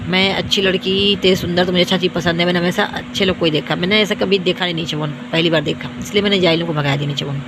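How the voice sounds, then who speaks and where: Hoarse young woman ranting, flat voice. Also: lowish voice, quick pace, hardly any pauses, noisy background. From India. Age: young adult. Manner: repetitive, boastful, scolding. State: tired. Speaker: vlogger. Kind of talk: self-filmed rant to viewers.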